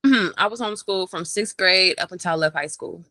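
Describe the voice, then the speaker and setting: A woman's voice: fairly low pitch, a little fast, sharp tone, dry recording. A young woman, a rapper from Maryland, talking casually and animatedly as an interview guest, laughing often. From Maryland, USA. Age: young adult.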